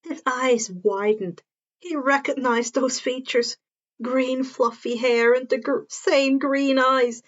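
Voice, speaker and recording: Woman narrator, high voice, slow storytelling pace, occasional stumbles and repeats, dull lo-fi sound. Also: fairly even intonation. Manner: stumbles over and repeats words. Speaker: fan fiction narrator. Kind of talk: reading a story aloud.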